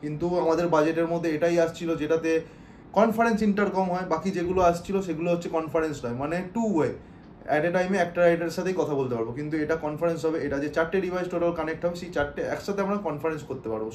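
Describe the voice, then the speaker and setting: Male vlogger, slightly high voice, very brisk explanatory delivery, casual. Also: a little mellow, slight background noise. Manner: explanatory, mixes in English words. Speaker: motorcycle vlogger. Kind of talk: casual product demo vlog.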